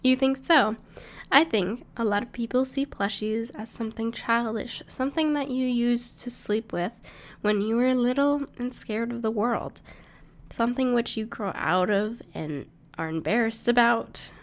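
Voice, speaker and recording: Soft-hearted female dragon companion played by a woman, higher-pitched with noticeable fry, tender and playful, for intimate roleplay audio. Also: a little slow. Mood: earnest, wistful. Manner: tender, reassuring, playful, slightly hesitant. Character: gentle, cuddly, bashful female dragon in human form, plushie lover.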